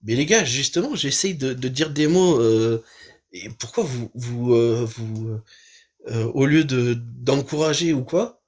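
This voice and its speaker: Streamer, man, average pitch, heated reaction, lots of fillers and swearing. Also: unhurried, darkish tone. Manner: impassioned, swears, hesitates with many fillers.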